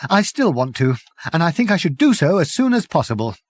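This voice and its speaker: A man voicing a fussy, put-upon young man, raised in pitch and exasperated. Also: sing-song, a little fast, dry recording.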